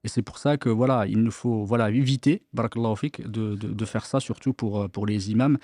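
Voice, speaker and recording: A man's voice: on the low side, steady tone, fairly quick, mellow, dry recording, clean studio sound. A man hosting an Islamic radio discussion. He talks hesitantly, restarts often and peppers his questions with Arabic blessings. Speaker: host of an Islamic radio show. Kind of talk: religious discussion, asking questions.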